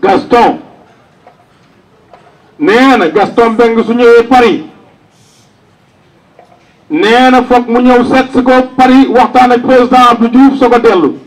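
A man's voice: very high-pitched, a little slow, pauses often, far from the mic, poor audio. A Senegalese man announcing condolences to mourners, relaying a message at an outdoor gathering.

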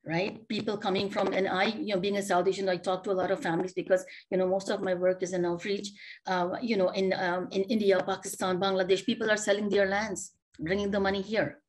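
A woman's voice: medium pitch, little pitch movement, a little fast. Earnest woman on a video call. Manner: earnest, questioning, many rhetorical questions and restarts. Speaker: panelist. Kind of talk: panel discussion remarks. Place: home office, indoors.

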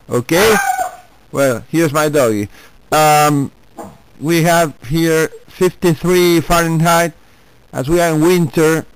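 Slow, clear Argentine man on ham radio. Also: a little high, low-quality recording. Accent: Argentine Spanish. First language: Spanish. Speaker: amateur radio operator. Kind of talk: casual chat over ham radio.

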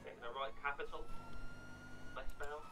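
Animated man calling a pursuit over loud sirens. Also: fairly high pitch, moderate pace. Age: adult. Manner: clipped, terse phrases. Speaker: police officer player in an online roleplay game. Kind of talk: live radio-style pursuit callouts. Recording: very noisy, sirens and engines, distant mic. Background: police sirens and vehicle noise from the game.

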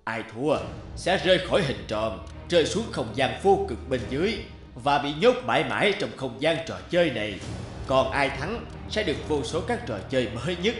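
A mysterious old cartoon game master, acted by a man, speaks with grand, menacing formality. His mid-pitched voice swings dramatically up and down. It rings with echo over background music. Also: sing-song, normal speed, lightly acted. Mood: grand announcing. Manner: theatrical, formal announcing. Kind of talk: animated cartoon dubbing. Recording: echoey voice over loud music.

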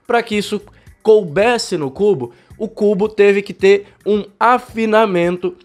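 A man's voice: sits high, soft background music. A young man from Brazil hosts a cycling channel, talking to camera and explaining with his hands while he has a bad cold. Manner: fast, chatty, explains with hand gestures. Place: home studio room. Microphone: fluffy-windscreen desk mic, close.

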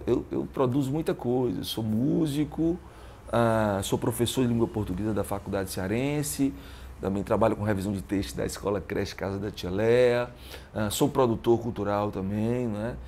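A man's voice: lowish voice, normal speed, a little mellow. A man in his early fifties with a northeastern Brazilian accent, talking reflectively as an interview guest and often checking for agreement. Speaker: interview guest, musician and teacher. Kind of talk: casual interview talk. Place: living room at home. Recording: clear background room noise.

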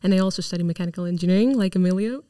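A woman's voice: fairly low pitch, mellow, no room sound, clean studio sound. A young woman student from Greece and Italy, a podcast guest talking casually with some hesitation. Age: young adult. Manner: quick, slightly hesitant with "um" and "uh". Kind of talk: casual interview chat. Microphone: desk mic on stand.

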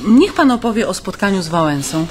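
An actress playing a polite, curious woman journalist, gently inquisitive in naturalistic radio drama dialogue. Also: medium pitch, sing-song, fairly quick, noisy background, lightly acted.

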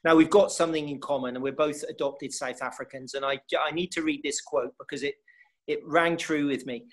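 A middle-aged man from England hosts an interview over a video call, polished and measured. His voice sits fairly high for a man. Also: steady tone. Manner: polished, reads quotes aloud. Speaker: host. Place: indoor room with curtain.

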